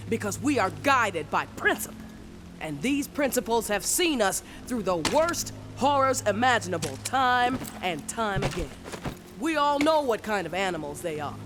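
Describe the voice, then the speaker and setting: A woman voicing a stern, militant faction leader who delivers a contemptuous, declamatory propaganda broadcast in a video game. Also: medium pitch.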